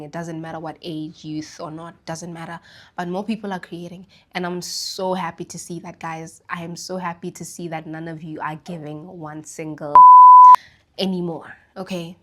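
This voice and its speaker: A young woman from Botswana hosting a casual solo podcast, her throat slightly raspy from the flu, rambling in a relaxed way about life and spirituality. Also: fairly low pitch. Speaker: podcast host.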